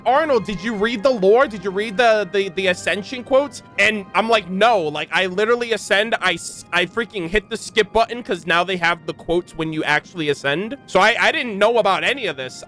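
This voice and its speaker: A man with a high, sharp voice reacts to a video game as host. He talks in casual slang, peppered with swearing and exclamations. Faint music plays behind him. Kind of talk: casual gaming reaction commentary.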